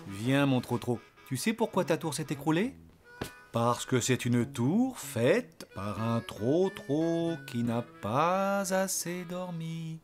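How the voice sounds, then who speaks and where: A man voicing a patient, affectionate father donkey in a children's cartoon, gentle, reassuring and expressive. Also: fairly high pitch, slow, soft background music. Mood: gently teasing.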